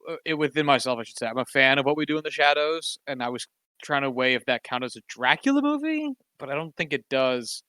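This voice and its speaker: A man's voice: medium pitch, expressive, fast talker, pushed and bright, clean tone, no echo. A man co-hosting a casual sports podcast, talking in a wry, sarcastic, rambling way with plenty of fillers. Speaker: podcast co-host.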